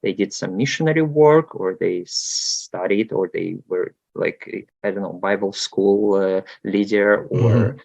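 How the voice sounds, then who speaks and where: A man's voice: on the low side, measured pace, creaky, hardly any pauses, a little mellow. A man in his thirties from Moldova with a Russian accent, speaking hesitantly with many fillers as an interview guest. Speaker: guest researcher. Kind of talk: casual interview talk. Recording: dull lo-fi sound.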